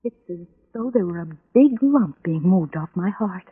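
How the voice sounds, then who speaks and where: A woman's voice: fairly low pitch, lively intonation, moderate pace, husky. An actress playing a lovesick, obsessive young woman in an old-time radio drama, pleading with impassioned, bitter anguish. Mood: trance-like, intense. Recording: tinny vintage radio recording with music.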